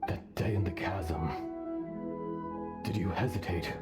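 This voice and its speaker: Slow, deep ancient dragon spirit. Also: male voice, fairly even intonation. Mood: grave. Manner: grave, archaic, deliberate phrasing. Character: ancient earth dragon spirit speaking through a man, grave and fatalistic. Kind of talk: video game character dialogue. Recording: game music behind the voice.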